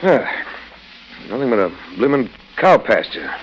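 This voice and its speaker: An actor playing an easily startled, chipper English adventurer with a British accent in an old radio serial. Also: male voice, medium pitch, very animated, a little slow, breathy edge, dull audio. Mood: indignant. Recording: old radio drama recording with music.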